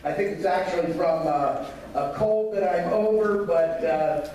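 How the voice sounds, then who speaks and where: Hoarse man speaking in an echoey hall. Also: high voice, measured pace, mellow. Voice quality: raspy, losing his voice. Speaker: county commissioner. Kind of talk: campaign speech at a meeting. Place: meeting hall. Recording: echoey hall from a distance, faint noise.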